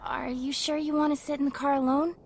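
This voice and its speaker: Girl acting sweet caring young woman, high voice, polite, audio drama, faint noise behind. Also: little pitch movement, brisk, gravelly, a little mellow. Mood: concerned. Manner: polite, concerned, slightly hesitant.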